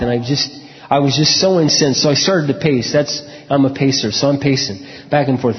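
A man preaching a sermon and telling personal stories in a frank, conversational way, often clearing his throat. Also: medium pitch. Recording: echoey hall, dull lo-fi sound.

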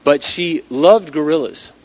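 A male inspirational speaker tells a story in a conversational, dramatic way. His voice sits fairly high and is starting to give out, and the recording is dull and lo-fi. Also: a little slow, a little mellow. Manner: dramatic, conversational, acting out dialogue. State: losing his voice. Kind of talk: storytelling talk to a live audience.

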